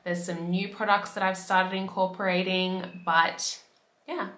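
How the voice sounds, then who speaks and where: Young aussie woman vlogging, bit raspy from a cold, chatty, lots of "like", bright tone, roomy echo. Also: on the low side, flat delivery, measured pace. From Australia. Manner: chatty, upbeat, fillers like "like". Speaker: beauty vlogger. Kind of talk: casual talk to camera. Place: bedroom by a window.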